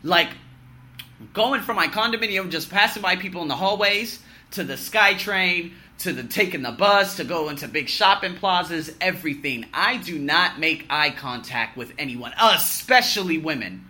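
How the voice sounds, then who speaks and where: A man in his thirties talks straight to camera in a lively, swooping voice that leaps high on a punchline and drops low on an aside. His tone is very bright and a touch high for a man. He is blunt and swears freely. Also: moderate pace. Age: 30s. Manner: animated, emphatic, swinging from loud boasts to low muttering. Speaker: vlogger. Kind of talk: personal year-in-review monologue.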